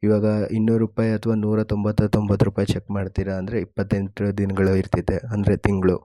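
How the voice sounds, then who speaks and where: A man's voice: on the low side, completely flat delivery, fast talker, warm tone, no room sound. A man hosting an informal tech explainer, addressing viewers and going over details step by step. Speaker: host of a tech explainer channel.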